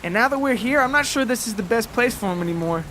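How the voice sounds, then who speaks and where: Man doing a high, soft-spoken farm mother. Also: lively intonation, fast talker, a little hiss or hum, exaggerated voice. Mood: firm. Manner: soft-spoken, tender but firm. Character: gentle, protective farm mother, petite woman.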